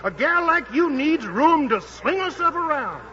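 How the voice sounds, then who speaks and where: An American man playing a fast-talking, wheedling boxing manager in slangy 1940s radio drama, coaxing and insistent. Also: very high voice, very animated, pushed and bright, exaggerated voice. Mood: pushy, persuasive. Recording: muffled, noisy 1940s radio broadcast.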